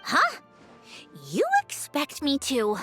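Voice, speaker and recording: A woman's voice: sits high, huge pitch swings, takes it slowly, over music, exaggerated voice. A woman voicing a blunt, skeptical, prickly young woman in a video game. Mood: indignant. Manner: blunt, incredulous. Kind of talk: English video game voice acting.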